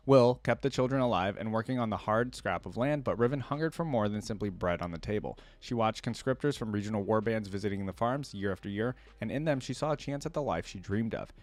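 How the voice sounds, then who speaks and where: A man's voice: fairly low pitch, no echo. Man hosting reactions, dry close sound. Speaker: host of a reaction channel. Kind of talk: casual reaction commentary with read-aloud lore.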